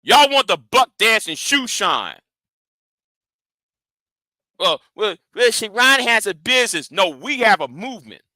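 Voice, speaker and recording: A man with a high voice and a hard, sharp edge, ranting in a commentary vlog. He swears freely, stumbles over repeated words and talks straight at his critics. The sound is dry and close. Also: big pitch swings, measured pace, long pauses, dry recording. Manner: confrontational and profane, repeats and restarts words; challenging his audience directly. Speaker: YouTube commentator.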